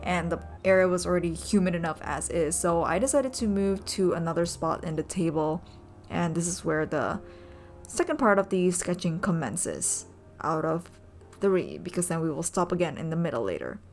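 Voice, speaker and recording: A young woman, a native Indonesian speaker, narrating her sketching vlog in a soft, rambling way with many ums. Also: fairly low pitch, animated, normal speed, faint music behind. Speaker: vlogger and urban sketcher. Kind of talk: casual voice-over narration.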